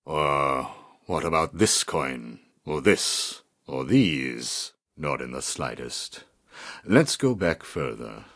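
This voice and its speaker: An actor playing a cold, manipulative middle-aged psychiatrist villain in a video game, speaking in a measured, condescending, clinical and menacing way. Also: male voice, extremely low pitch, full character voice. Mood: manipulative, probing. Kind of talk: scripted video game voice acting.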